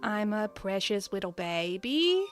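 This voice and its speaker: A woman speaks as a precious little baby animal, small and brave, with a cutesy, babyish delivery. It sounds like a proud pet introducing itself, unhurried and sweet, with music behind it. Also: medium pitch, big pitch swings.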